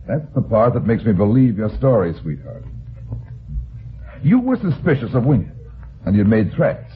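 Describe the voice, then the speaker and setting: A hard-boiled radio detective, tinny and noisy. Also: male voice, fairly low pitch, sing-song, normal speed. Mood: assured, reasoning. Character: tough, self-assured male private detective. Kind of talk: old-time radio detective acting. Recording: noisy, narrow-band old radio recording.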